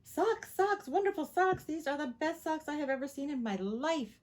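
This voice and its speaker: A woman voicing an excitable, stubborn little girl from a storybook, exclaiming and repeating words emphatically. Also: high voice, moderate pace, pitched above the performer's own voice, close to an everyday voice. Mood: thrilled, stubborn.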